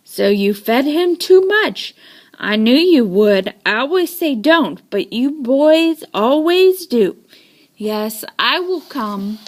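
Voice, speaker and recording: Woman as a slow, scolding know-it-all man. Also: sits high, lively intonation. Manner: admonishing, deliberate.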